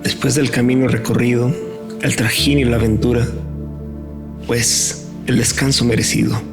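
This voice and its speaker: Calm man narrating over music. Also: mid-range voice, vocal fry. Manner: calm, unhurried, poetic. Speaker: vlog narrator. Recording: voice-over with soft music behind.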